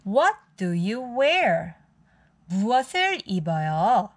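A woman's voice: medium pitch, big pitch swings, brisk. A woman reading a picture book aloud for young children as a storytime host.

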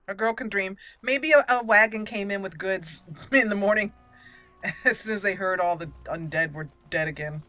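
A woman's voice: medium pitch, measured pace, sharp tone, no room sound, faint music behind. A woman giving casual game commentary as host, chatty and giggly, musing aloud as she plays.

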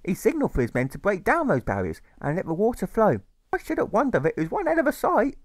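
Proud, fervent storybook mother, played by a man, pitched high and very animated, declaiming to her son. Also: quick pace, no echo, clean studio sound, full character voice. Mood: proud, impassioned. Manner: fervent, repetitive, exclamatory declaiming. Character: emotional, proud, doting mother lecturing her young son. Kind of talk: theatrical audiobook character reading.

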